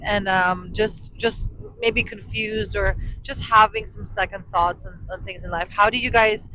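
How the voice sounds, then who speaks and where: A woman's voice: mid-range voice, steady tone, unhurried, pushed and bright, a little hiss or hum, poor audio. A woman hosting a casual chat inside a car on a handheld mic, giggly and rambling in her questions. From Poland. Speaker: podcast host. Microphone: handheld microphone.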